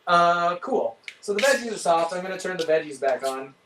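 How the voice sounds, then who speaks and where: A man with a slightly high voice talks through cooking steps in an informal, think-aloud way. He sounds like a chef leading a casual cook-along. He is a bit away from the mic in an echoey room, with faint noise. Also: animated, normal speed, distant mic. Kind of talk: casual live cooking instruction.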